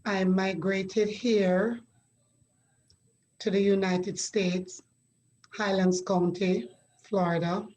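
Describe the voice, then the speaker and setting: A middle-aged woman from Jamaica, a guest sharing her story in a measured, reflective way with pauses. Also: mid-range voice, level tone, a little mellow. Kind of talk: conversational interview.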